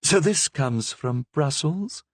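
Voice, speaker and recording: A shrill, excitable young queen in a dry, close storybook reading. She is imperious yet giddy, with her exclamations leaping high. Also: slow pace, no echo, exaggerated voice. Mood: curious.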